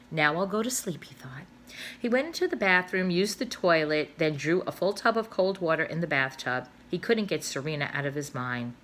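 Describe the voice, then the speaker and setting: A woman teacher reading a children's novel aloud, expressive, with little asides and self-corrections. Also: deep voice, slight background noise.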